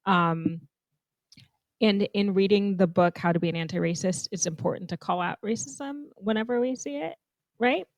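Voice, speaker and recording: A young woman from Zambia, co-hosting a podcast in animated, thoughtful casual conversation. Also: medium pitch, moderate pace, dry recording. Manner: animated, thoughtful, laughs often. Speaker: podcast co-host.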